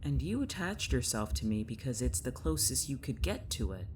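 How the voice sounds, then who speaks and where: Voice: medium pitch, big pitch swings, normal speed, a little mellow, a little hiss or hum, lightly acted. An actor in an audio drama playing a battered young protagonist who is wary, sarcastic and hesitant. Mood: weary, sarcastic. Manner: dry, hesitant, exasperated.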